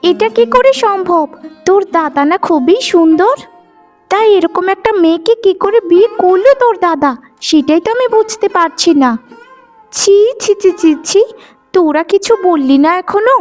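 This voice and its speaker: A woman's voice: extremely high pitch, expressive, moderate pace, faint music behind. A woman voicing a vain, meddling young female rival in a melodramatic cartoon, gossiping and goading with exclamations. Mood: shocked, disdainful. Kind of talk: melodramatic moral-story cartoon acting.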